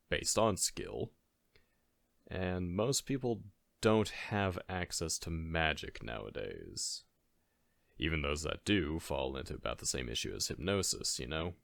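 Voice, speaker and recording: Guy acting as ur caring goofy best friend, asmr roleplay, soft spoken, slow, super close dry sound, gently chiding. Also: a bass voice, gravelly, no echo, full character voice. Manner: halting, conversational, gently chiding.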